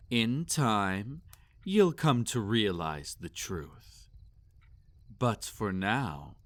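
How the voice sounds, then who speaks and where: A man's voice: on the low side, a bit bright, no echo, exaggerated voice. A man playing a possessive, sadistic male vampire in intimate roleplay audio, with a theatrical, teasing and condescending manner.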